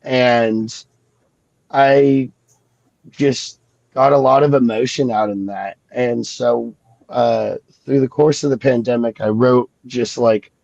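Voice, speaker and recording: A man with a gravelly edge to his voice, sniffling and stuffy from a cold. He talks slowly and reflectively, with lots of fillers and restarts. The sound is dry and a bit dull. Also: mid-range voice, steady tone, darkish tone, no room sound. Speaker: guest comic creator. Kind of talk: casual podcast chat.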